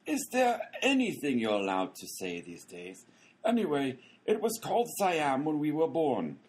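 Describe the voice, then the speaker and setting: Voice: mid-range voice, sing-song, moderate pace, darkish tone, slight background noise, close to an everyday voice. A performer playing a sarcastic, impatient postal clerk with a flippant, theatrical delivery in a radio comedy. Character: sarcastic, cranky postal clerk, one of conjoined twins.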